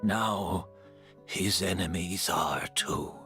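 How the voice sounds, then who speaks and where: An actor playing a weary, courteous master of his craft in a video game, soft-spoken and resigned. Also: low-pitched, drawn-out words, soft background music, exaggerated voice. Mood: resigned, reflective.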